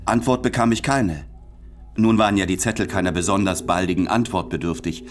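A man's voice: low voice, fairly even intonation, fairly quick, close to an everyday voice. A man playing a courteous, self-doubting, tender writer, reading his letters in a formal, hesitant, introspective way for a radio play.

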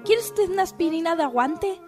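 A woman's voice: very high voice, lively intonation, a little fast, a little mellow, faint music behind. A woman playing an eager, exaggerated young female soap-opera character in a parody radio drama. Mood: fussy. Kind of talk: parody telenovela radio acting.

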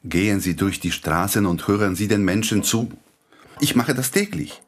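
Husky, animated idealistic doctor. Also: male voice, on the low side, normal speed. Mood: explaining firmly. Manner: courteous, earnest, gently ironic. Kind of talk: radio play acting.

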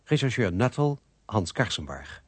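A man's voice: on the low side, big pitch swings, normal speed, husky, dry recording. A man reading scripted closing credits as a radio drama announcer.

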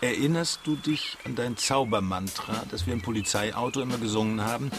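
An actor playing a wry, unflappable ex-cop in a radio crime drama, dry and deadpan, over ambient noise. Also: male voice, medium pitch, a little fast, close to an everyday voice.

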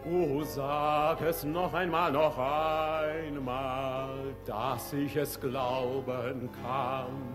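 Slow, ardent young lover, formally courteous. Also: male voice, mid-range voice, flat delivery, over music. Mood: yearning, impassioned. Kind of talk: German radio play acting of classic stage comedy.

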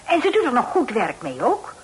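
A woman's voice: on the high side, wildly swooping pitch, moderate pace. A woman playing a chatty, nosy but respectful housemaid in a radio play. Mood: chatty, curious. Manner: gossipy, prying, deferential. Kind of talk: Dutch radio play acting. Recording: noisy old radio drama recording.

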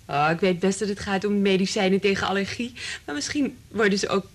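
An actress playing an eager, naive young secretary in a Dutch radio drama, chatty and bubbly. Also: female voice, medium pitch, a little fast, natural voice. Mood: eager, chirpy.

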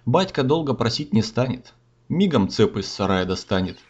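Animated male storyteller over music. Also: mid-range voice, normal speed. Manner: folksy, theatrical. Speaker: storyteller, narrator. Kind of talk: rhymed comic fairy-tale narration. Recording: slightly dull audio, music behind.

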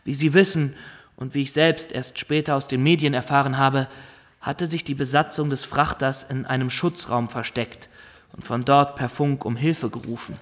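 An actor playing a sober man who recounts his ordeal in measured, formal radio drama narration. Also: mid-range voice, fairly even intonation, a bit bright, lightly acted. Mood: sober, reflective.

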